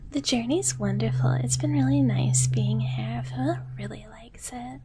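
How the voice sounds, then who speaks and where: A woman voicing a sweet, gentle dragon big sister in live ASMR, whispering softly and haltingly and often repeating her phrases. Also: on the high side, very noisy, lightly acted. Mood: soft, reassuring. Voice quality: soft, breathy whisper. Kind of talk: whispered live ASMR roleplay, chatting with viewers.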